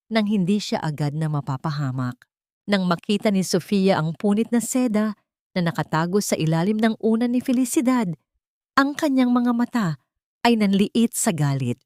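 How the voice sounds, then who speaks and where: A woman narrates a scripted dramatic audiobook. Her voice sits a little low for a woman, and the sound is dry, with hardly any room on it. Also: darkish tone, no echo.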